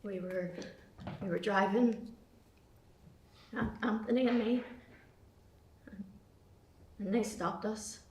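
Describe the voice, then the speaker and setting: A woman's voice: medium pitch, lightly acted. A woman reading a shaken young woman on the run. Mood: frightened. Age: young adult. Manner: shaken, halting. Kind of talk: live script table read. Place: theatre stage. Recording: echoey hall from far off, faint noise.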